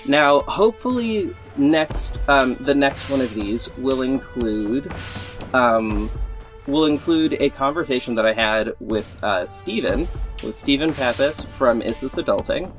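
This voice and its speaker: A man's voice: mid-range voice, lively intonation, a little slow. A man hosting a podcast in an informal, rambling way, repeating words for emphasis as he gives a casual update. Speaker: podcast host and audio drama creator. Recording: music playing behind the voice. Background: background music.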